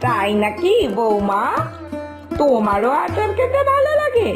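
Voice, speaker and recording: A woman's voice: on the high side, huge pitch swings, unhurried, music in the background. A woman playing a stubborn, ageing mother-in-law in a cartoon, with a coaxing, exaggerated delivery. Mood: pleased, chatty. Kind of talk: scripted cartoon dialogue.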